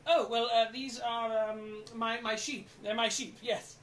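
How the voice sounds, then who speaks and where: A performer playing an eager, flustered, bumbling storyteller in an interactive children's show, with an excitable, stammering, coaxing manner. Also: mid-range voice, a little slow, far from the mic, slight background noise, lightly acted. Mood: flustered, sheepish. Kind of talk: theatrical children's storytelling with audience participation.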